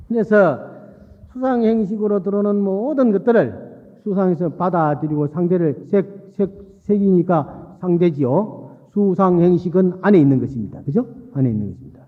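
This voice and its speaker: Male monk delivering a folksy sermon, slightly high-pitched voice, long hall reverb. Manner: folksy, repeats phrases for emphasis, checks in with listeners. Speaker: Buddhist monk giving a dharma talk. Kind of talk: Buddhist sermon with storytelling. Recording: muffled phone-like sound in long-reverb hall.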